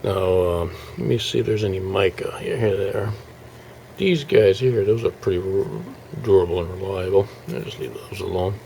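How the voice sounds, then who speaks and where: A man giving a casual, halting walkthrough as a hobbyist showing his restoration work, thinking aloud as he goes. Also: low-pitched, creaky, warm tone, a little hiss or hum. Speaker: hobbyist radio restorer presenting his project. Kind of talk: casual informal video walkthrough.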